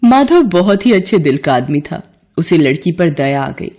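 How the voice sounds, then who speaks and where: A woman's voice: sits low, big pitch swings, moderate pace, darkish tone, breathy edge. A woman narrator telling a scripted devotional folk tale in a storytelling style.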